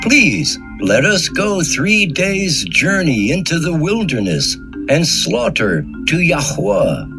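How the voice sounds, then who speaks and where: A man's voice: a little high, wildly swooping pitch, slow, heavily acted. A man playing an earnest, pleading Hebrew prophet in a scripture audio drama. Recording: music under the voice.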